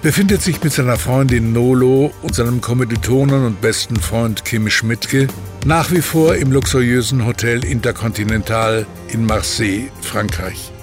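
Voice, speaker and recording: Casting: male narrator, medium pitch, formal scripted storytelling for audio drama, clear music bed. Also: normal speed.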